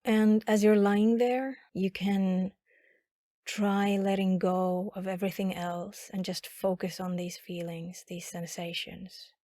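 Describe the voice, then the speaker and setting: Slow, mellow woman guiding meditation. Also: medium pitch, dry recording, studio quality. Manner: calm, instructional, with long pauses. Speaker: meditation guide. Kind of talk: guided body scan meditation narration.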